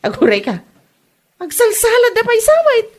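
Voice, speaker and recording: A woman's voice: very high-pitched, huge pitch swings, normal speed, full character voice. A woman with an Ilocano accent playing a sceptical, gossiping friend in a comedy radio drama. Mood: scornful gossip.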